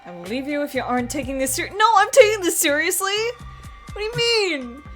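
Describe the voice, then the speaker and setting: A high-voiced woman who swings widely in pitch as she chats through a game with friends. She talks slowly, laughs a lot and yells out now and then, with faint music behind her. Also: sing-song. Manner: laughs often, loud outbursts, swears. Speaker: streamer playing a game with friends. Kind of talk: casual group game commentary.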